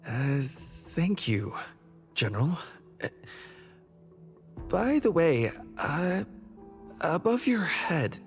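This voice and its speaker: An actor voicing a dazed, hesitant young video game protagonist who speaks haltingly. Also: mid-range voice, big pitch swings, big-room sound, heavily acted. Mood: groggy, hesitant. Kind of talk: acted game dialogue. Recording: faint music behind.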